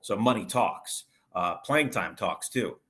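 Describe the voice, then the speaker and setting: A man with a fairly low voice speaks as a sports radio broadcaster in an interview. He explains things in detail and conversationally, often building a point in short, broken phrases. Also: expressive, slow pace, pushed and bright.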